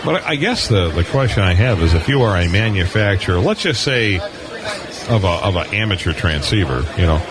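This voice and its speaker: A man hosting an informal live interview, asking rambling questions with halting, repeated starts. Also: low-pitched, expressive, a crowd in the background. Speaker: radio show host, interviewer. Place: booth on a convention hall floor. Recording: noisy busy convention floor background.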